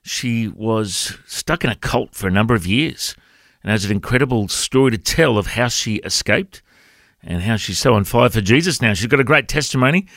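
A man's voice: fairly low pitch, animated, moderate pace, a bit bright, dry recording, studio quality. A man hosting a radio interview who asks friendly questions and uses a lot of fillers. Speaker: radio host.

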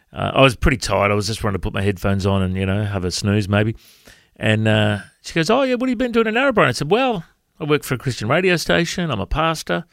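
Australian male pastor, big voice, storytelling radio devotion, slight fry. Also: mid-range voice, a bit bright, dry recording, clean studio sound. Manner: storytelling, conversational.

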